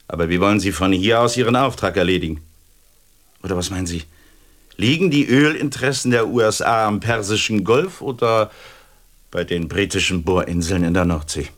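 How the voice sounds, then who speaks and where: An actor playing a smooth-talking secret agent in a German radio drama, polite and insinuating with veiled menace. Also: male voice, lowish voice, a bit bright.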